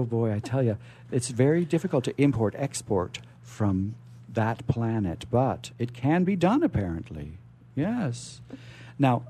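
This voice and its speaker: A man's voice: medium pitch, warm tone, breathy edge. A man hosting a radio storytelling show in a theatrical, playful, effusive showman's manner.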